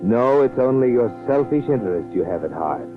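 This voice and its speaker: An actor playing a mysterious, sardonic storyteller narrating a 1940s radio mystery with an ominous, deliberate manner. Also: male voice, mid-range voice, expressive, natural voice. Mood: mocking. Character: mysterious, sardonic male night-walking storyteller. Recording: tinny 1940s radio recording, music behind.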